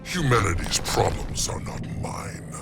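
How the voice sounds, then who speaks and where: Big old swamp creature, deep gravelly growl, slow and solemn, game music behind. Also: male voice, sing-song. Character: ancient hulking plant monster, solemn protector of nature.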